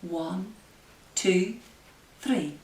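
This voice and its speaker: A woman hosting story time for small children as a librarian, speaking gently, asking them questions and leading into simple rhymes. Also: fairly low pitch, very slow. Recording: roomy echo, distant microphone.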